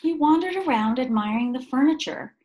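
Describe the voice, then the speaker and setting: A woman reading a picture book aloud to young children, expressively, with deliberate dramatic pauses and emphasis. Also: sits high, expressive, a little mellow.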